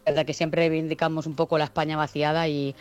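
A woman's voice: deep voice, little pitch movement, quick pace, no room sound. A woman mayor speaking on a phone interview, hesitant, with many fillers and restarts. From Spain. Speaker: town mayor. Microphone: phone call.

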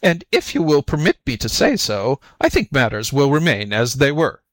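A man voicing a sly storybook jackal who acts flustered and slow-witted, fretful and full of exclamations. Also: on the high side, huge pitch swings, no room sound, voice pushed up, full character voice. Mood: smug. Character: sly jackal feigning muddled, whimpering stupidity. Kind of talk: storybook character voice.

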